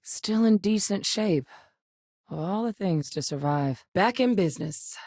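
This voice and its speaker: A voice actress playing a composed woman scientist with a military past, speaking in a measured, clipped, matter-of-fact way. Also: medium pitch, lively intonation, darkish tone, dry recording, studio quality. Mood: steady. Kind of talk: video game voice lines.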